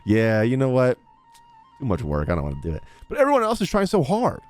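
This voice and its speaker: A man's voice: mid-range voice, huge pitch swings, brisk, a little mellow, soft background music. A man giving casual, blunt, slangy gameplay commentary and talking back to the characters, close on a streaming mic. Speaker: game streamer.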